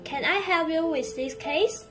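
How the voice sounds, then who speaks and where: A woman's voice: extremely high pitch, unhurried. A young woman playing an eager, admiring detective's assistant in a student English radio drama. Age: young adult. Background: background music.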